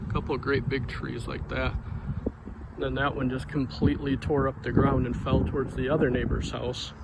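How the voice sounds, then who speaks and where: A soft-spoken man giving an informal devotional talk outdoors near a street, in a quiet, unhurried manner. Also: medium pitch, flat delivery, vocal fry, very noisy. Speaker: devotional vlogger. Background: passing cars.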